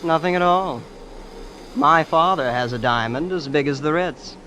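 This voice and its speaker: A man's voice: a little high, normal speed, bright, forceful tone. An actor playing an aloof, rich prep-school young man who boasts coolly in a 1940s radio drama. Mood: nonchalant boasting. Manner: cool, matter-of-fact boasting. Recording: noisy vintage radio recording.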